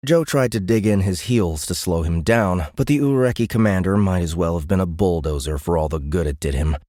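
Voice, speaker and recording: A man's voice: sits low, brisk, warm tone, dry recording, studio quality. A man reading scripted, dramatic science fiction narration as an audiobook narrator.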